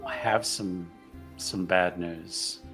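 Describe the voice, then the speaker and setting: A man voicing a polite, tactful bearer of bad news in a tabletop roleplaying game, halting and careful. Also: low-pitched, fairly even intonation, fairly bright tone, soft background music, full character voice. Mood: gentle, hesitant.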